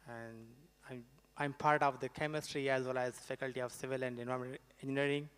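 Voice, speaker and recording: A young man with a Pakistani accent answering informally on a student panel, in a halting way with repeated phrases. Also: medium pitch, little pitch movement, a bit bright, clear, resonant tone. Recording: reverberant hall over microphone.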